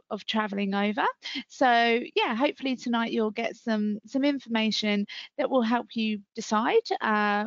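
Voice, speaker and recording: A chatty woman with a southern English accent hosts a webinar, her voice a little high and smooth. She pauses often with ums. The dry call audio sounds dull and low-fi. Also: dry recording. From England. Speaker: webinar host. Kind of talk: hosting a webinar.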